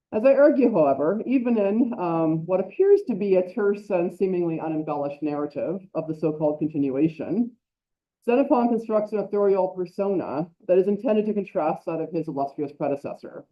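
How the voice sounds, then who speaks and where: A woman's voice: on the low side, dull audio. Woman reading an academic paper. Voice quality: loud voice. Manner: self-correcting, quick asides. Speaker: conference speaker. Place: lecture hall.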